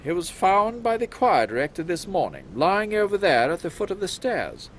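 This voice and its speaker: A man's voice: high-pitched, huge pitch swings, normal speed, fairly bright tone, a little hiss or hum, exaggerated voice. A man playing an officious Victorian London policeman in a comic audio drama, matter-of-fact and dryly grim. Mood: deadpan, grim.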